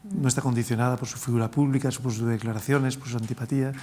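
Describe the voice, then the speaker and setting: A man's voice: mid-range voice, steady tone, quick pace, warm tone, slight background noise. A man, a literary critic on a discussion panel, speaking reflectively and checking in with others. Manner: reflective, asks tag questions.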